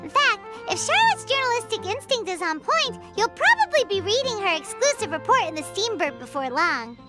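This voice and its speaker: Squeaky, very high-pitched little fairy. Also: female voice, big pitch swings, moderate pace, loud music behind the voice. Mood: earnest. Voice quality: squeaky, childlike. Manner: speaks of herself in third person, exclamatory. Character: tiny childlike female fairy companion, chirpy and food-loving. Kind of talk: anime video game voice acting.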